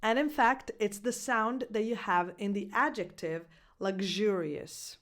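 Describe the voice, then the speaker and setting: A woman's voice: medium pitch, a bit bright. Italian woman coaching English pronunciation. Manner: clear, deliberate, slows down to spell out words. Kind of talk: scripted video lesson.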